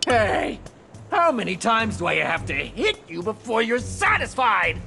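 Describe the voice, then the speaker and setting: Animated, boastful young guy. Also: high-pitched, measured pace, gravelly, faint music behind, heavily acted. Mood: exasperated. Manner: exclamatory, dramatic. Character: excitable, boastful young male vacationer, comic sidekick. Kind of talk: anime game voice acting.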